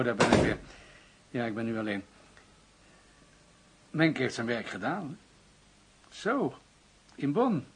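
Radio drama police chief: medium pitch, very husky, measured and official. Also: male voice, full character voice. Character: composed, scheming police chief defending his man.

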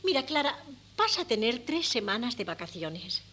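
Fussy, high-swooping meddling mother. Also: sing-song, moderate pace, sharp tone, husky, exaggerated voice. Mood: bossy, exasperated. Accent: Castilian Spanish. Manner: fussy, theatrical, exclamatory. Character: meddling, excitable, scheming mother of grown sons. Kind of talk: 1970s Spanish radio comedy acting. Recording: noisy old radio cassette with hum.

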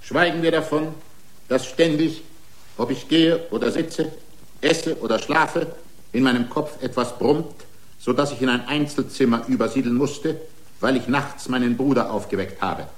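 A man's voice: medium pitch, normal speed, close to an everyday voice. An actor playing a defiant, aggrieved man made of prostheses, arguing his own case indignantly and combatively in a radio drama. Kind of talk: German radio drama acting. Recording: echoing room.

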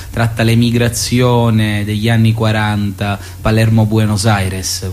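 A man answering interview questions as a guest, haltingly searching for his words. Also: fairly low pitch, darkish tone. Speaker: playwright and stage director, interview guest. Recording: clear hum and background noise.

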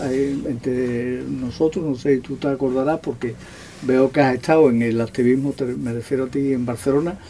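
A man's voice: mid-range voice, dark tone, slight background noise. A man talking off the cuff at a public discussion, in long trailing clauses with tag questions. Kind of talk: unscripted discussion at a public talk.

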